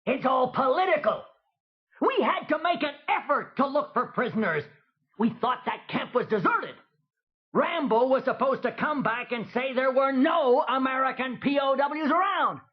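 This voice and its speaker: Cynical mission chief, male, played by a man: very high voice, brusque, defensive, kids' story tape, dull sound. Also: expressive, normal speed. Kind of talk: children's cassette drama. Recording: dull 1980s cassette tape.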